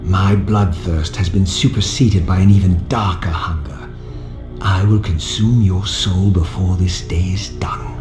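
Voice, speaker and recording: An actor playing a proud, vengeful vampire wraith in a video game, speaking in a formal, theatrical, measured way. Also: male voice, sits low. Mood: defiant, menacing. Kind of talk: dramatic game voice acting. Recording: reverberant hall, music underneath.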